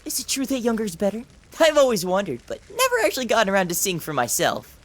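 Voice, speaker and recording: A woman's voice: medium pitch, huge pitch swings, quick pace. A woman voicing a taunting, sadistic male samurai villain in a visual novel, with theatrical, sneering delivery. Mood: cruel taunting.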